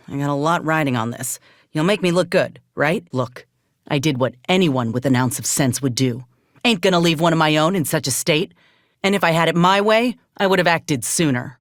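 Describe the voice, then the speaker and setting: An actress playing a plucky, principled ex-soldier turned freelancer in a space-frontier video game, with plainspoken, folksy phrasing. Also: female voice, lowish voice, no echo, clean studio sound, close to an everyday voice. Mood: earnest, guarded. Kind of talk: video game character acting.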